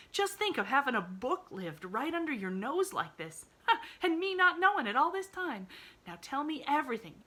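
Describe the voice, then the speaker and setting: A woman voicing an excitable young rural housemaid who speaks in a country dialect and gushes breathlessly. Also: fairly high pitch, voice pushed up, heavily acted.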